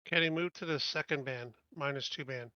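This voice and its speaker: A man's voice: on the high side, moderate pace, pushed and bright, no room sound, highs cut off. A man playing a tabletop game, chatting casually and giving his choices in a direct, decisive way.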